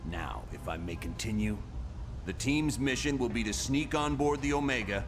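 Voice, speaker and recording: An actor playing a stern, curt colonel who gives mission briefings in a video game cutscene. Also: male voice, mid-range voice, animated, moderate pace, over music, lightly acted. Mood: stern, businesslike. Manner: curt, authoritative. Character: stern, terse male colonel running a covert agency. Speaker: military intelligence commander giving briefings.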